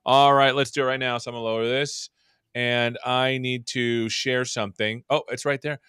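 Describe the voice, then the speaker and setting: A man's voice: medium pitch, fairly even intonation, normal speed, sharp tone, clear, resonant tone, no room sound, clean studio sound. A man hosting a live tech show, chatting casually and joking as he welcomes viewers. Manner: jokey, rambling and upbeat. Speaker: show host. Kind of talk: casual live-stream chat.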